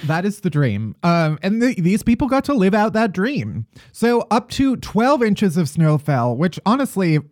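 A man's voice: sits high, big pitch swings, measured pace, few pauses, a little mellow, dry recording, studio quality. A man from Chicago hosting a podcast, joking in a playful, sarcastic way and swearing casually. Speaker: podcast host. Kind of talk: casual comedic chat.

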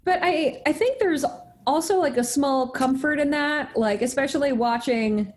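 A woman co-hosting a film podcast, chatting casually about a movie and jumping quickly between thoughts. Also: sits high. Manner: animated, jumps between thoughts. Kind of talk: casual chat reviewing a movie.

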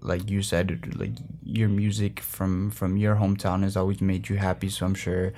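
A man's voice: low-pitched, flat delivery, normal speed, mellow. A young man from Colombia whose first language is Spanish, answering interview questions earnestly with many restarts. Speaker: guest, former student drummer.

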